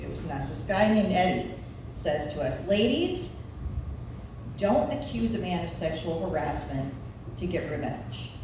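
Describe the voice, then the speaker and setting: Recorded from a distance in a very echoey venue, a woman in her forties delivers a stand-up routine with a Nebraska Midwestern accent. She takes her time, with wry, self-deprecating storytelling and pitch that moves around freely. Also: sing-song, a little slow. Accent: Nebraska Midwestern American. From Nebraska, United States. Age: 40s. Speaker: stand-up comedian. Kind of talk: live stand-up comedy routine. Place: live comedy venue. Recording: echoey room, distant mic, background noise.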